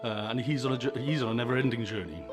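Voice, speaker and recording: Calm man, actor interview, music behind. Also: medium pitch, fast talker. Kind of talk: documentary interview.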